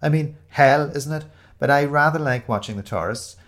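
A man's voice: fairly high pitch, normal speed, pitched above the performer's own voice, lightly acted. A man voicing a chatty, bossy young woman who gushes in breezy, emphatic run-on chatter for an audio storybook. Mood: breezy, enthusiastic. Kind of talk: audio storybook character dialogue.

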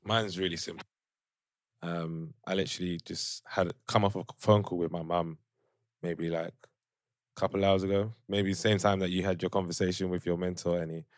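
A man's voice: low-pitched, little pitch movement, moderate pace, dry recording, studio quality. A young man co-hosting a podcast, talking in a laid-back, teasing way. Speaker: podcast co-host. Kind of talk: casual chat.

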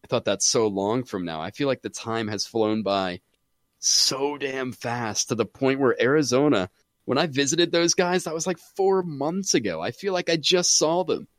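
A man chatting casually about games as a podcast guest, excitable and saying "dude" often. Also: mid-range voice, normal speed, no echo, studio quality. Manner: excitable, says "dude" a lot. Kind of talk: casual gaming chat.

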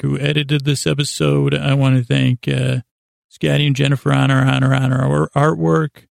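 A man hosting a bedtime podcast in a gravelly, creaky voice, rambling in a halting, meandering way that often circles back on itself. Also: medium pitch, no echo, studio quality. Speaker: host of a bedtime sleep podcast. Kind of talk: rambling, unscripted bedtime monologue.

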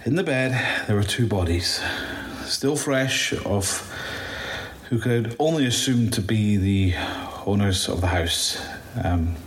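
A man playing a shaken, bitter apocalypse survivor in an audio drama monologue, halting, stammering and swearing as he recounts his ordeal. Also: lowish voice. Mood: haunted, tense. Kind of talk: first-person audio drama monologue, confessional. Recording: roomy echo, faint background noise.

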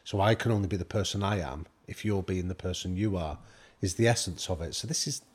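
A man's voice: deep voice, fast talker, husky. A man from the UK, a guest expert, telling anecdotes in casual conversation and acting out the quoted dialogue. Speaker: guest expert on high performance. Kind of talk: conversational storytelling.

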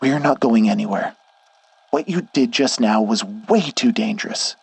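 A man's voice: medium pitch, unhurried, gravelly, slightly rough, no echo. A man voicing a worried, protective young man who scolds urgently while out of breath. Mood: firm.

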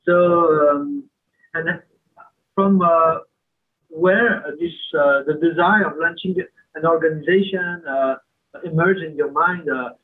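A male podcast host with a fairly high, husky voice. His sound comes through a thin, phone-like line. He asks his questions haltingly and fills the gaps with hesitations. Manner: hesitant, many fillers. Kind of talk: interview hosting.

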